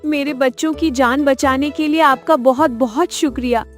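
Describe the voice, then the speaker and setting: A woman's voice: high-pitched, steady tone, normal speed, faint music behind, natural voice. A woman playing an anxious, tender mother goat in a cartoon fable, with an earnest, pleading manner. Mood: gentle, polite. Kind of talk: Hindi cartoon story acting.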